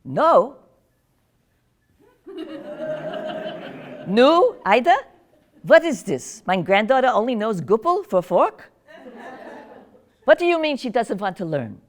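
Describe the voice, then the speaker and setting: A woman's voice: on the high side, big pitch swings, slow pace, pauses often. Woman playing wry old grandmother. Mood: doting. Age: older. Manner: gossipy, teasing, drifting into confusion. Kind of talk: one-woman stage monologue.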